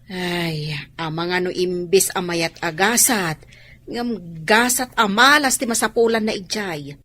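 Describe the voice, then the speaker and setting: A woman's voice: fairly low pitch, unhurried, bright, forceful tone. A woman with an Ilocano accent playing a nosy, alarmist village neighbour in a radio drama, fretful and cautioning.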